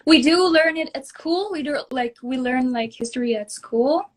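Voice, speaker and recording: A woman's voice: high-pitched, moderate pace. A woman in her twenties from northern Israel, giving short, easygoing answers as a guest in a live-stream interview. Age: 20s.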